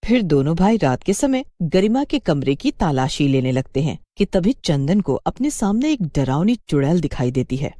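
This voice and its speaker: A woman narrates a scripted tale as a storyteller. Her voice is low and soft-toned with animated intonation, and the recording is very dry. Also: normal speed, hardly any pauses, dry recording.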